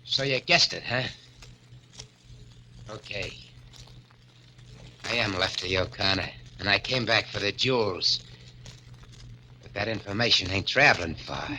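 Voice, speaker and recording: A man's voice: on the low side, a little slow, long pauses, pushed and bright, slight background noise, exaggerated voice, low-quality recording. An actor playing a jittery male crook in an old radio drama, narrating his story in tough, slangy talk. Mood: menacing. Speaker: narrator and lead character. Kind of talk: 1940s radio acting. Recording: old radio recording with music.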